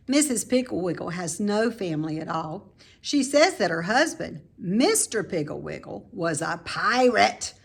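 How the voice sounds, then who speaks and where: A woman reads a children's story aloud at a leisurely pace. Her mid-pitched voice moves up and down in a lively way, and she phrases each idea deliberately and expressively for young listeners. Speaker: storybook reader, teacher.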